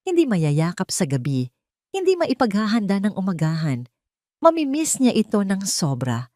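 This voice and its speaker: A woman narrating a scripted romance drama in a measured, dramatic storytelling manner. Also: on the low side, darkish tone, no echo, clean studio sound.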